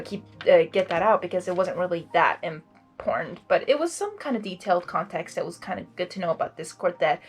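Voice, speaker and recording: A woman giving gushing, exclamatory fan commentary as she hosts a story reading. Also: mid-range voice. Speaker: host of a blind-reading series. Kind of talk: excited fan commentary between story narration.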